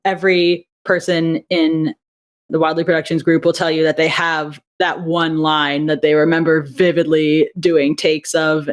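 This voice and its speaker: A young adult woman, a guest on an interview over a video call, talking enthusiastically about her audio drama and thinking aloud with frequent restarts. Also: low-pitched. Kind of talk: casual interview chat.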